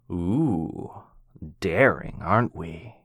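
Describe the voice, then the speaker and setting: A man's voice: low-pitched, a bit bright, dry recording, clean studio sound, exaggerated voice. A man playing a cocky, teasing werewolf. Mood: smug. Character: cocky, playful male werewolf rival. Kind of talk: boyfriend ASMR roleplay.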